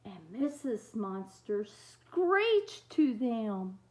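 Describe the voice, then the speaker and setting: A woman with a high voice reads a picture book to young children. Her delivery is very slow and full of lively swings, and she steps out of the text to chat straight to the listeners. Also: heavy background noise. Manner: expressive, talks directly to young listeners. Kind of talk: animated read-aloud with chatty asides.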